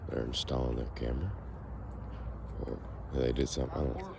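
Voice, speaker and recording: A hoarse young man with a gravelly voice talks at the very bottom of his range. He rambles casually to a handheld phone as he walks outside. Passing traffic and outdoor noise sit clearly behind him. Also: extremely low pitch, fairly even intonation, a little fast. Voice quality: raspy. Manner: rambling, musing to camera. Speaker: vlogger. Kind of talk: casual walking vlog. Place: outdoors, walking on a foggy street.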